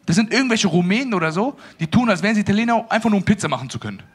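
Animated young man doing stand-up. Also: sits high, very fast, breathy edge. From Afghan family in Germany. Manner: animated, acts out dialogues with put-on voices. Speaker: stand-up comedian. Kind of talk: stand-up comedy set. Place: small stage with living-room set before a live audience. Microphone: handheld mic.

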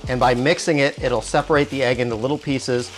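A man in his forties hosting a cooking show, explaining each step calmly in a home kitchen with small restarts, on a lapel mic. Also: mid-range voice, a little fast. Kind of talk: cooking demonstration. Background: soft music and sizzling wok.

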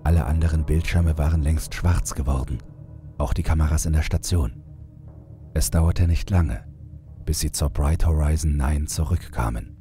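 A man's voice: rumbling low, normal speed, over music. A man reading scripted first-person horror fiction as an audiobook narrator.

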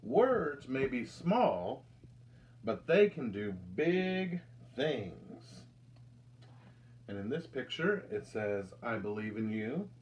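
Slow, lively American man reading to children. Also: mid-range voice, a little hiss or hum. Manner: slow, deliberate, expressive storytelling delivery. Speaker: children's ministry storyteller. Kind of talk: reading a picture book aloud to children. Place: indoors, small room with curtain backdrop.

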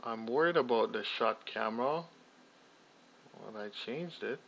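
Slow, gravelly man walking through software. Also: mid-range voice, a little hiss or hum. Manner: rambling, thinking aloud with fillers and restarts. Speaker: tutorial host. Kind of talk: casual screen-recorded software tutorial. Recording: slightly dull lo-fi audio.

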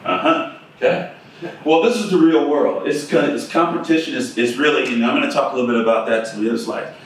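A man's voice: mid-range voice, quick pace, a little hiss or hum. A middle-aged man giving a conversational keynote talk at a conference and putting questions to the audience. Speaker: keynote speaker. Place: conference room with projector screen. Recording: echoey room, from a distance.

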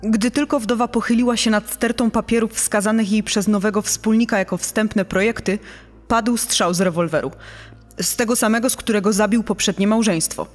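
A woman's voice: medium pitch, fairly even intonation, normal speed, lightly acted. Woman voicing policewoman, matter-of-fact murder account, dramatized reading, long reverb, faint music. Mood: grave. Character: policewoman recounting a murder investigation.

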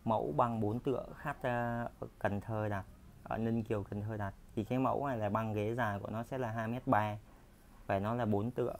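Chatty man, low voice. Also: slight background noise. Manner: chatty, repetitive, filler-heavy. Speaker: furniture workshop seller. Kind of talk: casual sales walkthrough. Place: furniture workshop floor.

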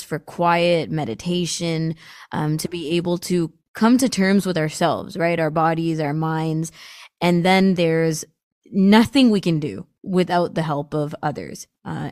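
A woman hosting an interview, asking gentle, reflective questions. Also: sits low, no room sound, clean studio sound. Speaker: guest host. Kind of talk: interview conversation.

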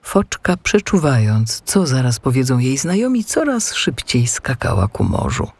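A man narrating a children's audiobook in an expressive, scripted storytelling style. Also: medium pitch, a little slow, breathy edge, dry recording.

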